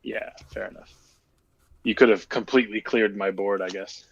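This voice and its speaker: Low-voiced man bantering over a card game. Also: steady tone, moderate pace, gravelly, breathy edge, dry recording, lo-fi sound. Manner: joking, playful banter. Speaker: card game player and streamer. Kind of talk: casual chat during card game stream.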